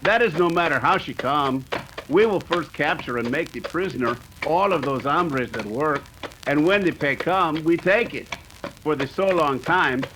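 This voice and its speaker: Over a noisy, crackling track comes a man acting as a cunning Mexican bandit chief in a radio western. He is boastful and scheming, with a slightly high voice and broken English peppered with Spanish. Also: close to an everyday voice.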